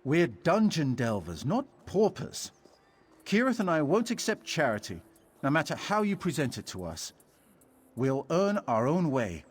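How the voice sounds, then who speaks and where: A man's voice: on the high side, very animated, measured pace, breathy edge, full character voice. A man playing a haughty, aggrieved scholar and artificer in a video game, wordy and indignant. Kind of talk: video game character dialogue.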